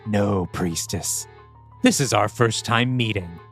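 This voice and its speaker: A man's voice: fairly low pitch, slow, faint music behind, voice pushed down. A man voicing a mocking male demon who has possessed a priest and taunts a congregation with theatrical flair. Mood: taunting.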